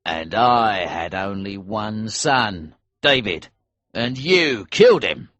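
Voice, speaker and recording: A man voicing a cold, vengeful smuggler leader in an audiobook, calm and menacing. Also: fairly low pitch, slow, dry recording, heavily acted. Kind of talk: audiobook character voice.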